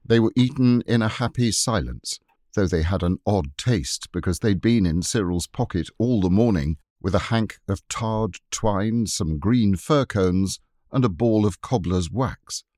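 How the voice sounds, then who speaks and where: Expressive low-voiced male storyteller. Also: a little slow, dry recording, studio quality. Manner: expressive, wry. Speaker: audiobook narrator. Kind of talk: storybook narration.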